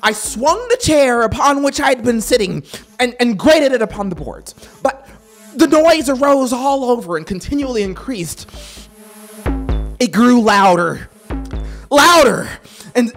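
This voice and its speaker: A narrator playing a guilt-mad murderer in a theatrical, drawn-out horror audiobook performance, feverish and unraveling. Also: high voice, lively intonation, voice pushed up, exaggerated voice. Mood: agitated, frantic. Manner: theatrical, drawn-out, swinging from hush to shriek. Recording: synthesizer music behind the voice.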